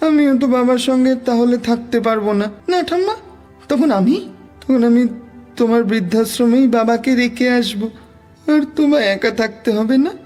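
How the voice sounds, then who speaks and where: A woman's voice: on the high side, moderate pace, darkish tone, soft background music, natural voice. A woman voicing a devoted, sensitive teenage boy in a cartoon, earnest and pleading with an elder. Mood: earnest, sad. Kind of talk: Bengali cartoon voice acting.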